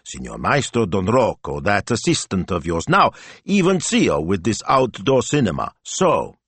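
A man's voice: on the low side, big pitch swings, a little slow, fairly bright tone, husky, no echo, heavily acted. A man voicing a shrewd, bossy Italian wife who talks in a practical, decisive, chiding way in an audiobook. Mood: brisk, decisive. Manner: chiding, matter-of-fact, decisive.

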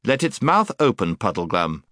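A man's voice: lowish voice, huge pitch swings, normal speed, heavy background noise. A man voicing a bold, stern prince in an audiobook, speaking in a formal, chivalrous, commanding way. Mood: stern threat. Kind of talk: audiobook character voice.

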